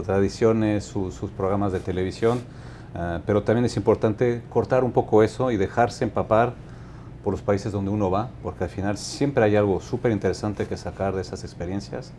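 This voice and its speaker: A man in his fifties with a low, dark and mellow voice, answering as a guest in a measured, unhurried way and often restarting his sentences. A faint low rumble sits under the recording. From Mexico. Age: 50s. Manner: measured, thoughtful, with frequent restarts. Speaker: company director, guest. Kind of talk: interview answers.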